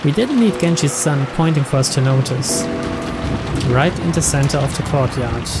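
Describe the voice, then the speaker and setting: A man's voice: medium pitch, expressive, normal speed, over loud music. A man reading a story aloud as a dramatic scripted narration. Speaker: narrator reading a visual novel's translated text aloud.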